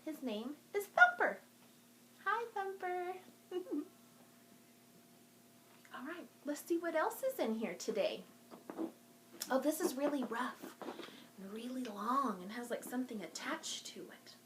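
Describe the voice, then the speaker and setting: A woman presents a children's storytime in a fairly high voice. She takes her time, speaking slowly in an encouraging, sing-song way as if to young kids. There is a light layer of background noise on the homemade recording. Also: expressive, fairly bright tone. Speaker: children's librarian hosting a virtual storytime. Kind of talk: talking to young children in a craft and show-and-tell video.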